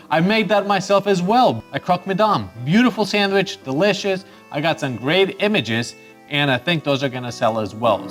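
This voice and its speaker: A man from Costa Rica hosting his photography channel in a chatty, upbeat way, with bursts of excitement. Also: fairly high pitch. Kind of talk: casual YouTube presenting.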